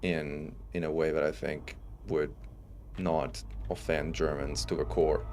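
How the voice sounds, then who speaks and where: Man, very low voice, faint italian accent, casual streamer chat, relaxed and chatty, noisy background. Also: measured pace. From Italy. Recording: steady background noise.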